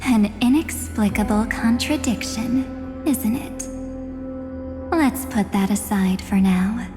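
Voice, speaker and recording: Poised mysterious guardian woman, unhurried storytelling, anime game, reverberant with music. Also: a little high. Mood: mysterious, composed. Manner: measured, cryptic, poised. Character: enigmatic, composed woman guardian of memory and oblivion.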